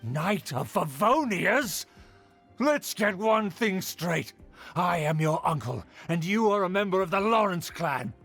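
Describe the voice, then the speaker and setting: A man's voice: sits high, big pitch swings, a little slow, fairly bright tone, faint music behind, heavily acted. A man voicing a haughty, scheming noble uncle in a video game, lecturing pompously and theatrically with proud indignation. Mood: stern reproach.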